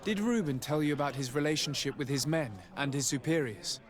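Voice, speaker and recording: A man playing a courteous, composed noble envoy in a video game, with formal, measured speech. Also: a little high, expressive, crowd noise, lightly acted. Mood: measured, persuasive. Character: polite, composed, probing young nobleman envoy. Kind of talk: video game dialogue acting.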